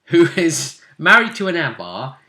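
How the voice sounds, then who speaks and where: A man casually talking through a tabletop role-playing game as game master, often pausing and thinking aloud in broken phrases. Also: a little high, huge pitch swings, bright, forceful tone. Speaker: game master, host of a role-play vidcast. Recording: roomy, echoing room sound.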